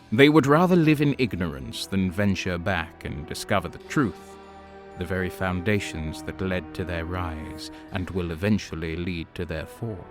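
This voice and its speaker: A solemn, all-knowing being from beyond time , played by a man, narrates an audio drama in a low voice. The delivery is grave and portentous, with scorn for lesser minds. Music plays behind the monologue. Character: solemn, all-knowing transcendent male being chronicling the universe.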